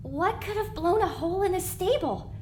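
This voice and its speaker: A woman's voice: extremely high pitch, lively intonation, moderate pace, dark tone, slight background noise. A woman voicing a bewildered, anxious young pony mare who questions everything, in an audio drama. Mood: confused, anxious.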